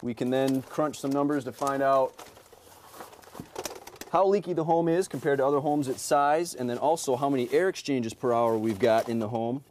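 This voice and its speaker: A man in his thirties, an energy auditor, explaining an on-site home inspection in a relaxed way with many fillers, recorded in a house basement. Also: mid-range voice, moderate pace, slight background noise. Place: basement crawl space and attic of a house.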